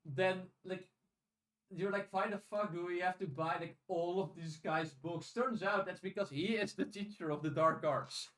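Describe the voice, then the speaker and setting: A man co-hosting a podcast in a casual discussion, speaking haltingly with restarted phrases and casual swearing. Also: high-pitched, steady tone, fairly bright tone. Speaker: podcast co-host. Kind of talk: casual discussion of audiobooks and fiction. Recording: roomy echo, distant from microphone.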